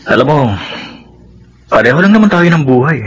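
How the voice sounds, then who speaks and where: A man's voice: fairly high pitch, animated, fairly quick, fairly bright tone. A man playing a loyal, earnest friend who gives firm advice in a radio drama, with a Filipino accent. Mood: earnest, advising.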